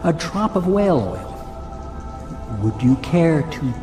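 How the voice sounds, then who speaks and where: An actor playing an awkward, fussy inventor in a video game, hesitant and stiffly formal. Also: male voice, on the high side, sing-song, takes it slowly, music in the background. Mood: stiff, guarded. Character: awkward, fussy male inventor and natural philosopher. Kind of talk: dramatic game cutscene dialogue.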